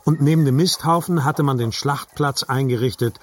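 A man's voice: mid-range voice, moderate pace. A man narrating literary fiction for an audiobook in a scripted reading style.

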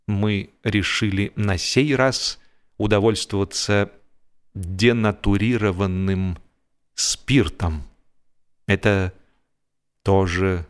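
A man narrating a humorous literary story in an expressive, mock-serious theatrical manner, with deliberate pauses. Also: fairly low pitch, clear, resonant tone. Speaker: audiobook narrator, actor.

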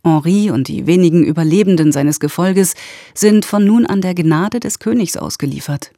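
A woman's voice: on the low side, normal speed, dark tone, no echo, clean studio sound. A woman announcer reading scripted narration.

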